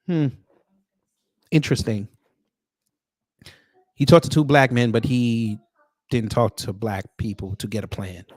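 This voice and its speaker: A man's voice: medium pitch, expressive, a little mellow, no echo. Sarcastic American man giving opinion commentary, dry sound. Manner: sarcastic, confrontational, with pointed pauses. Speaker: online commentary host. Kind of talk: off-the-cuff opinion commentary, reading posts aloud.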